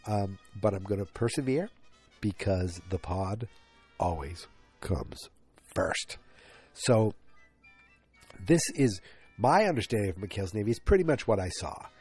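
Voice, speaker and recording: A stuffed-up man in his forties talks alone into his podcast mic, rambling and restarting his sentences. His voice sits on the low side and sounds mellow and dark. Faint music plays underneath. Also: expressive, measured pace, long pauses, husky. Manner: rambling, self-interrupting, many fillers and restarts. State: stuffed up, congested. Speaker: podcast host. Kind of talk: solo rambling podcast talk. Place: home, late at night.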